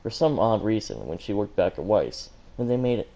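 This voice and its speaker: A man's voice: on the low side, very animated, a little fast, gravelly, dark tone. A man reading a story aloud as an amateur, stumbling now and then over words and correcting himself. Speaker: fan fiction reader and commentator.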